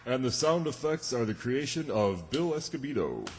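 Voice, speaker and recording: A man's voice: mid-range voice, big pitch swings, normal speed, over loud music. A man announcing credits genially from a script in old-time radio style to a live audience. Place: township hall stage. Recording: echoey hall, clear background noise.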